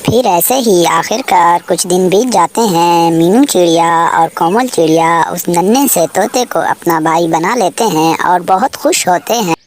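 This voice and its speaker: Woman storyteller, unhurried cartoon narration. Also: on the low side, darkish tone.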